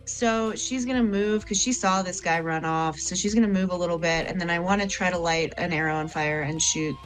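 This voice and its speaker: Low-voiced woman chatting through her turn. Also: fairly quick, soft background music, poor audio. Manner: chatty, rambling with "like" and restarts. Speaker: player. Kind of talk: casual tabletop play.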